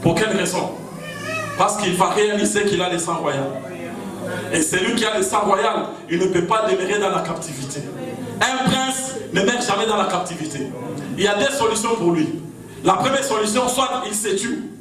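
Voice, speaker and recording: A man from Congo with a Congolese French accent, preaching an impassioned sermon to a rally. He shouts his refrains in repeated rhythmic cadences. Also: high voice, animated, moderate pace, a crowd in the background. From Congo (DRC). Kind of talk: fiery political sermon. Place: large echoing hall at a gathering. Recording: very echoey hall, far off, music behind. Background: music playing.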